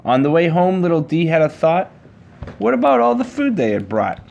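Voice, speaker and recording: A man reading a children's picture book aloud to his child, with a measured, rhyming storybook delivery. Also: on the high side, huge pitch swings, vocal fry, darkish tone, lo-fi sound, slight background noise.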